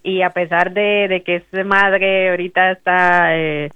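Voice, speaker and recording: Woman co-host, soft and hesitant, tinny phone sound, dry. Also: fairly low pitch, level tone, measured pace, smooth, no echo. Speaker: radio co-host. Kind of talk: casual radio chat.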